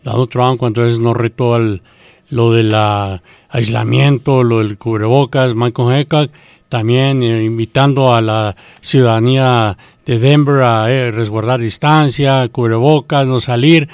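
A man's voice: medium pitch, steady tone, a little slow, clean tone, dry recording. A man giving conversational political commentary on a talk show. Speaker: on-air commentator.

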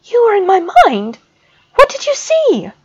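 A woman reader voicing a frightened young schoolgirl who talks high and breathlessly, on a dull lo-fi recording. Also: wildly swooping pitch, unhurried, a little mellow, no room sound, full character voice. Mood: bewildered. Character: young girl student, frantic and fearful.

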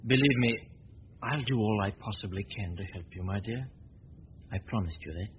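An actor playing a suave, genial gentleman detective who talks in a relaxed, urbane way, in 1940s radio acting. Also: lowish voice, normal speed, close to an everyday voice. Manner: relaxed, urbane, easy chuckles. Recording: muffled hissy 1940 radio recording.

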